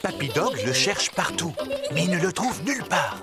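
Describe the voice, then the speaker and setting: A man's voice: sits high, sing-song, moderate pace. A man playing the storybook narrator of a French preschool cartoon, reading scripted narration. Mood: plain storytelling. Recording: loud music behind the voice.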